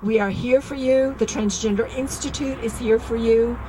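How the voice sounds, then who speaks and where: A woman with a slightly high voice speaks in a measured, supportive way at an outdoor rally and introduces the next speaker. Faint traffic noise runs behind her. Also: fairly even intonation.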